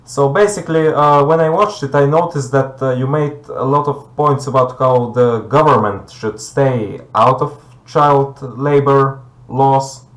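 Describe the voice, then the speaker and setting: A man from Bulgaria, debating conversationally as a guest and haltingly searching for words. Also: medium pitch, fairly bright tone. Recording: echoey room sound.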